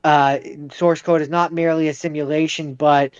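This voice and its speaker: A man's voice: a little high, little pitch movement, moderate pace, darkish tone, clear, resonant tone, no echo. A man co-hosting a podcast, casually explaining films and going back over his points, on slightly dull audio. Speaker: podcast co-host. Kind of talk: casual film discussion.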